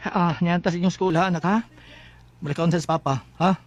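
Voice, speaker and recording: A man's voice: high voice, fairly even intonation, fairly quick, a little hiss or hum, close to an everyday voice. An actor playing a devoted, homesick working-class husband and father in a Bisaya radio drama, anxious and reluctant, with a Filipino accent. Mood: tender, fatherly.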